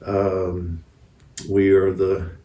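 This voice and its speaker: Low voiced guy telling folksy stories in an interview, roomy and kinda far from the mic. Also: completely flat delivery, drawn-out words, warm tone. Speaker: interview guest, missionary preacher. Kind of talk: conversational storytelling. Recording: echoey room, distant mic.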